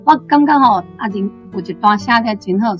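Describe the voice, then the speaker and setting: A woman's voice: mid-range voice, lively intonation, fairly quick, soft background music, rough recording. A woman in her sixties with a Taiwanese accent, chatting about a story and her own memories as a language teacher. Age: 60s. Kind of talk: casual book discussion with personal reminiscence.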